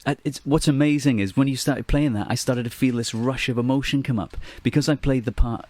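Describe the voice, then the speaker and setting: A man who is a singer being interviewed in a radio studio, speaking in a relaxed, reflective way. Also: medium pitch, a little fast, no room sound. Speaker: singer and musician guest. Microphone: studio microphone.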